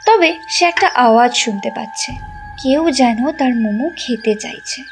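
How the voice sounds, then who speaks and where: Lively high-voiced woman narrating. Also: unhurried, over loud music. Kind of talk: storybook narration.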